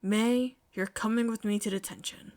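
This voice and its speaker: A woman's voice: mid-range voice, fairly even intonation, normal speed, darkish tone, lightly acted. A woman voicing an exasperated male schoolteacher in a story, scolding emphatically. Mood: weary, stern. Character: exasperated male teacher scolding his troublemaking student.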